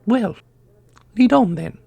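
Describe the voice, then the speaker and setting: A woman playing a commanding celestial heroine in a fantasy audio roleplay, with a breathy, creaky voice. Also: a little high, expressive, slow pace, warm tone, full character voice. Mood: composed. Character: commanding celestial woman searching for her lost grandmaster sibling.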